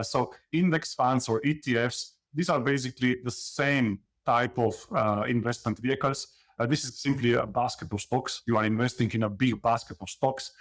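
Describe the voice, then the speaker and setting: A man from Slovenia, a guest in an interview, explaining investing in a halting way full of fillers and "you know". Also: mid-range voice. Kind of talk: conversational interview.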